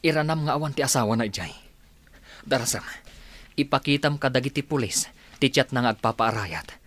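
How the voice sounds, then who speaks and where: A man's voice: medium pitch, fairly quick, a bit bright, natural voice. A man playing a practical, scheming helper in a radio comedy drama, giving directive instructions. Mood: urgent, plotting.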